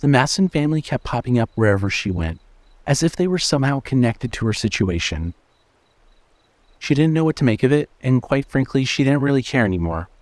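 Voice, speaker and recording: A man's voice: medium pitch, big pitch swings, fairly quick, a little mellow, no echo. A man reading scripted suspense fiction as a narrator.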